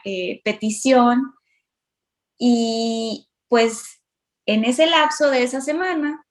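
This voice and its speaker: A woman with a northern Mexican accent giving a personal testimony as an interview guest on a video call, with hesitant pauses. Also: a little high. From Matamoros, northern Mexico. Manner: hesitant, pausing. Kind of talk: personal testimony, conversational storytelling.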